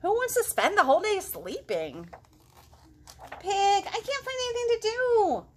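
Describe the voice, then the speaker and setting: Woman playing a whiny, high-pitched little frog. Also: big pitch swings, measured pace, a little hiss or hum, full character voice. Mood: whiny, bored. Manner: whiny, exaggerated, swooping. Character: whiny, restless young male frog, bored and excitable.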